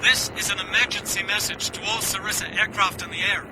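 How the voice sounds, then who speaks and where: An actor playing an air traffic controller in a radio drama, clipped, formal and urgent, over a radio link. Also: male voice, high voice, fairly even intonation, fairly quick, natural voice. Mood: urgent, official. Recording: noisy aircraft radio transmission, engine drone.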